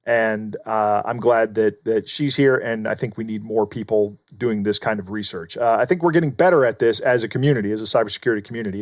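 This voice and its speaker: A man's voice: fairly low pitch, no room sound, clean studio sound. A man co-hosting a podcast, giving earnest, explanatory commentary in a conversational way. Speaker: podcast co-host.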